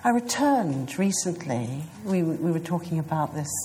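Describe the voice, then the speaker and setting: A woman in her fifties with a British accent, an author answering interview questions in a thoughtful, hesitant way with many pauses. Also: deep voice, sing-song, warm tone, slight background noise. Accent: British English. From South Africa. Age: 50s.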